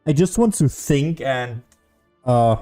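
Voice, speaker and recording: A man gives casual livestream commentary on a game. He speaks slowly in a soft, dark tone, musing to himself and his viewers. Faint music sits in the background. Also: mid-range voice, expressive. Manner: musing aloud, hums to self. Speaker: game streamer.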